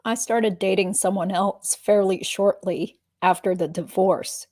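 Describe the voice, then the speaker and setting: Woman playing a deposition witness, dark relaxed timbre, medium pitch, guarded, measured, noncommittal. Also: natural voice. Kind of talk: dramatic reading of a legal deposition.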